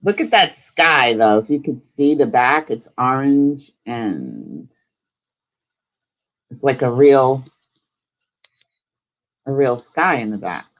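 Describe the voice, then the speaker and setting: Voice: mid-range voice, sing-song, slow, long pauses, fairly bright tone, poor audio. A live stream host chatting casually and informally, thinking aloud while giving a tour of a virtual world.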